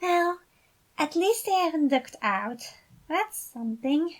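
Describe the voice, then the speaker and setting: A woman voicing a gentle, anxious fatherly man with a hesitant, soft-hearted manner in a story reading. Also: sits high, expressive, takes it slowly, voice pushed up. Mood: glum hope. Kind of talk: acted dialogue in a fan fiction reading.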